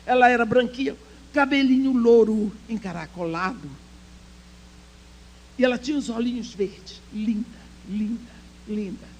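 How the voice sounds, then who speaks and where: A woman whose first language is English, preaching with passion at a women's church conference, swinging between loud proclaiming and hushed storytelling. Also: on the high side, a little slow, pauses often, breathy edge, poor audio. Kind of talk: sermon.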